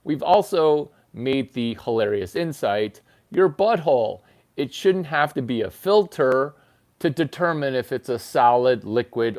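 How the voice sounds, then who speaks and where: A man hosting his own channel, rambling through a crude comedy monologue in a mock-lecture manner with sudden jumps in pitch for emphasis. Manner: rambling, deadpan lecture-style bathroom humor. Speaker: channel host.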